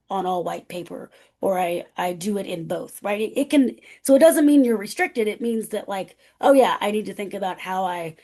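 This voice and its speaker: A woman speaking as a guest presenter, a professor with a loud, carrying voice who talks emphatically, often checking in with "right?". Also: mid-range voice. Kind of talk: conversational presentation.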